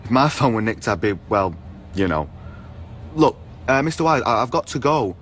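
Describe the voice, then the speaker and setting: Actor as a nervous evasive man, radio play dialogue, hesitant, placating, lively up-and-down pitch, faint background noise. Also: moderate pace, natural voice. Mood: awkward, evasive. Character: flustered, evasive man on the phone to his boss. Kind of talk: naturalistic radio drama dialogue.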